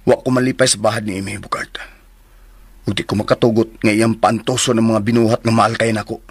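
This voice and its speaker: An actor playing a hot-tempered, protective grown son in a radio drama, scolding and questioning sternly. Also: male voice, fairly low pitch, little pitch movement, a little fast, breathy edge, no room sound. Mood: grave, protective.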